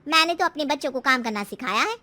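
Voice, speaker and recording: A woman's voice: high voice, lively intonation, fast, sharp tone. A woman voicing a frank, chatty neighbour, a mother, outspoken and full of advice in a moral story. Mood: frank advising. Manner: advising, outspoken.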